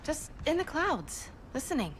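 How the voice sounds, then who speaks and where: An actress playing a restless, frustrated young superheroine in a video game, earnest and plaintive. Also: female voice, high-pitched, very animated, unhurried, slight background noise. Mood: frustrated, pleading. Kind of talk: video game cutscene acting.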